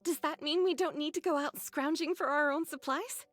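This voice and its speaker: A woman voicing a girlish, expressive young android in an anime game. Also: high-pitched, moderate pace, no echo, soft background music, natural voice. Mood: perky.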